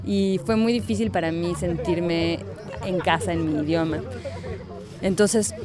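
A woman's voice: lowish voice, a little slow, a crowd in the background. A woman writer with a slightly unusual Mexican Spanish accent, answering interview questions thoughtfully and searching for her words.

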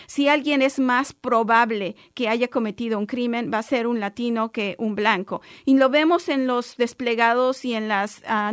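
A woman lawyer, a native Spanish speaker, explaining a legal point in a radio discussion, measured with frequent fillers and restarts. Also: on the high side, dry recording, studio quality. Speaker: lawyer guest.

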